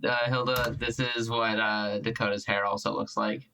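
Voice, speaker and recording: Bright-voiced man, halting and insistent. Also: lowish voice, flat delivery, a little hiss or hum. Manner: halting, insistent about details. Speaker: tabletop game player. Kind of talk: casual game discussion.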